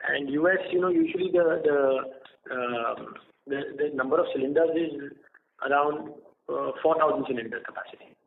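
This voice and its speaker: A man speaking as a company executive answering investor questions on an earnings call, with a hesitant, halting delivery. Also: mid-range voice. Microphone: telephone conference line. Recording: tinny phone line with clear noise.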